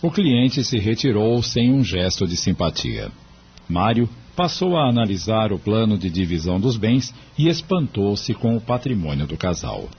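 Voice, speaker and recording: A man with a husky voice narrating a scripted audio drama as a storyteller. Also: fairly low pitch, big pitch swings, moderate pace, dull audio, a little hiss or hum.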